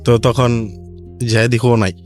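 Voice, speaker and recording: A man's voice: mid-range voice, flat delivery, a little slow, a little mellow. A young man with a Bangladeshi accent answering an interviewer casually in short, plain replies. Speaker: interview guest. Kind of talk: casual chat, answering questions. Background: music.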